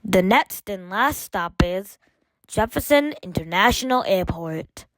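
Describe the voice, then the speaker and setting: A woman reading scripted train announcements as an automated announcer, in measured, formulaic phrases with separate segments. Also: mid-range voice, lively intonation, no room sound, poor audio.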